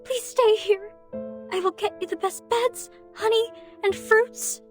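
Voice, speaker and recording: Game role: lonely, clingy forest girl, high childlike voice, wistful pleading, music underneath. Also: fairly even intonation, unhurried. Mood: desperate pleading. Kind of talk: video game voice acting.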